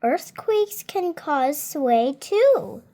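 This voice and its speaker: A woman's voice: high-pitched, big pitch swings, drawn-out words, dark tone. A seven-year-old girl reading a children's nonfiction book aloud carefully, word by word, adding her own small comments. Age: child, 7 years old. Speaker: child reader.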